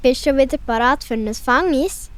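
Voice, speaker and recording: A woman's voice: high voice, normal speed, lightly acted. A woman playing a caring young female forest animal in a children's radio play, with a Swiss German accent and a simple, motherly way of explaining. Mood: gently explaining.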